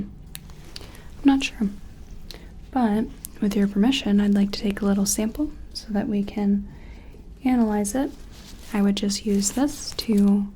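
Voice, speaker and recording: A woman playing a gentle, curious scientist in an ASMR roleplay, speaking softly and tentatively in a breathy, often whispery, soothing voice. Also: mid-range voice, a little hiss or hum, lightly acted, low-quality recording. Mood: gentle, focused. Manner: soothing, tentative, apologetic, hesitant pauses. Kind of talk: soft-spoken ASMR roleplay.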